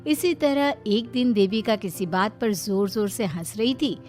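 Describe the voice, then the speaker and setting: A woman's voice: mid-range voice, a little slow, darkish tone, faint music behind. A woman narrator reading a scripted moral story in a dramatic storytelling style.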